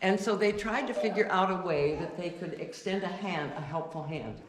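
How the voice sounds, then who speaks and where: A woman giving an informal talk to a church congregation, deliberately loud and chatty, with many restarts. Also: lowish voice, a crowd in the background. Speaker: guest speaker. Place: church sanctuary. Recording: echoey church with faint noise.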